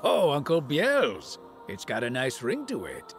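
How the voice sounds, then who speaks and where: A man's voice: medium pitch, wildly swooping pitch, a little slow, a bit bright, soft background music, heavily acted. A man playing a small, genial old demon thief in a video game, with a courteous, folksy manner. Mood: teasing. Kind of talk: video game cutscene dubbing.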